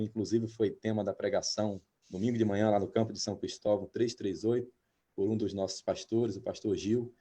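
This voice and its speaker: A man in his fifties, a pastor hosting an interview, talking hesitantly with many drawn-out filler sounds. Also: mid-range voice, warm tone. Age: 50s. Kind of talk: interview, reading a guest introduction.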